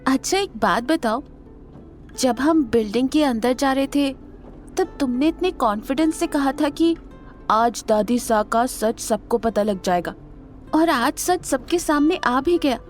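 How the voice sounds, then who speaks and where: जिज्ञासु युवा पत्नी, हल्का लहजा. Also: female voice, high-pitched, expressive, a little slow, soft background music. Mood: curious. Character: young wife, curious, pleased and gently questioning. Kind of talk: Hindi audio drama acting.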